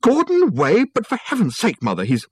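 A man's voice: mid-range voice, sing-song, normal speed, breathy edge, dry recording, pitched above the performer's own voice. A man voicing a flustered, indignant, spoilt grown son in an audiobook, spluttering and breaking off mid-sentence. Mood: outraged.

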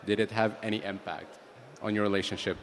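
A man with a lowish voice interviews a guest casually. He is encouraging, answers often with okay and laughs easily. Faint background noise sits behind him. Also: level tone, a little fast.

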